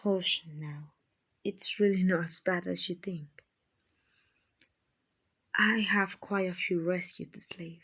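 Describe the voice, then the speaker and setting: Kindly female vampire acted by a woman, ASMR roleplay, husky and breathy, half-whispered, very slow, soothing, genteel. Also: lowish voice, steady tone, fairly bright tone, heavily acted. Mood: patient, explaining. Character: gentle, kindly female vampire, soothing rich slave-buyer.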